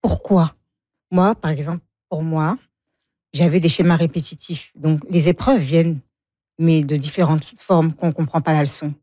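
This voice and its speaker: A woman's voice: low-pitched, steady tone, moderate pace, dark tone, dry recording, studio quality. A woman in her forties from Congo, a guest speaking frankly about her life and often laughing. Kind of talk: emotional personal interview.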